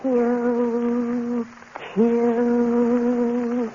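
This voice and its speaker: A woman's voice: a little high, completely flat delivery, very slow, dark tone. A woman playing a sinister female apparition, chanting commands in a 1950s horror drama on an old broadcast recording. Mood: menacing. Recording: muffled, noisy early 1950s broadcast recording.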